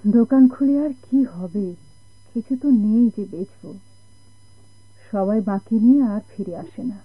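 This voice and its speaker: Slow, plaintive sailor's wife. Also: female voice, mid-range voice, slightly rough, no echo. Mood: weary, sorrowful. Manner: halting, plaintive, dramatic. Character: anxious, sorrowful sailor's wife and struggling mother. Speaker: radio drama actor. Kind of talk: Bengali radio drama acting. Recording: tinny, band-limited old radio recording.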